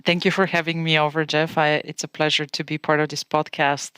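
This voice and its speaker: Bulgarian-accented woman, smooth clear voice. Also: low-pitched, level tone, fairly quick, fairly bright tone, no echo. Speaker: guest, venture capitalist. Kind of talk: podcast interview storytelling.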